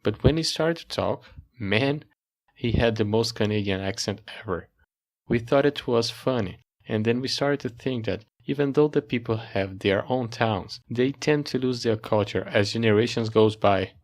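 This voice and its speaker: A man's voice: medium pitch, normal speed. A male student from Brazil giving read-aloud narration for a class project. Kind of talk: scripted narration.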